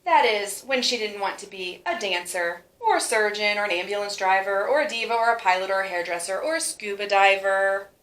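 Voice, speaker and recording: A woman reads a children's storybook aloud with animated delivery. Her voice is bright and forward, at a medium pitch, and she leans on key words. The room adds a clear echo. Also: fairly quick, hardly any pauses, a little hiss or hum. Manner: animated, stretches words for emphasis. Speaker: storybook reader. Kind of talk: expressive children's read-aloud. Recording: roomy, echoing sound.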